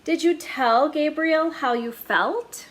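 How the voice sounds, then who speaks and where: A woman voicing a kind, patient father who talks in a gentle, reassuring way. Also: high-pitched, takes it slowly, voice pushed up.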